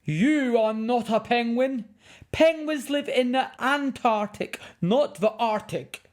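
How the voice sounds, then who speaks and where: A woman's voice: fairly high pitch, takes it slowly, voice pushed up. A woman voicing a furious, blustering man who scolds indignantly in a children's storybook. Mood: scolding. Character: furious, indignant grown man, wildlife television presenter.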